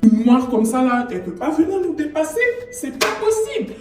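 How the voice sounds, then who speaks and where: A woman's voice: high-pitched, very animated, normal speed, a little mellow, far from the mic. A woman mimicking sneering snide coworkers. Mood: scornful. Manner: sneering, contemptuous. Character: snide, scornful female coworkers. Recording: echoey room, off-mic, faint music.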